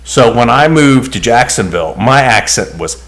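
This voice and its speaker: A man's voice: mid-range voice, lively intonation, moderate pace, fairly bright tone. A man from the Southern US with a Southern accent, ranting opinionatedly as a podcast co-host in casual chat. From Southern US, Alabama and Tennessee. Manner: opinionated, ranting, with frequent restarts.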